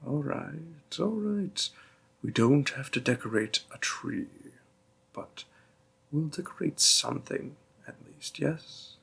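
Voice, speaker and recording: Demon boyfriend male actor, roleplay audio: doting, coaxing, very slow delivery, husky with a bright edge. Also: on the high side, animated, heavily acted. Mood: playful, persuasive. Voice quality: husky, gravelly, breathy. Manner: slow, deliberate, hushed, with lingering pauses. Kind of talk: slow, intimate roleplay audio monologue to the listener.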